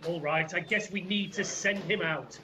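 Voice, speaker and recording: A man's voice: high voice, moderate pace, over loud music, close to an everyday voice. A teenage boy playing an irascible, blustering railway controller in a school radio play. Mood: grudging. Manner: blustering, exasperated.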